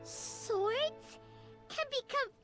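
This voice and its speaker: A tiny, squeaky companion creature, talks in an extremely high, childlike voice that swings up and down. It is naive and feisty, a cartoonish game sidekick, over loud music. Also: female voice, expressive, extremely slow, exaggerated voice. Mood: wondering. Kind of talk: cartoonish video game voice acting.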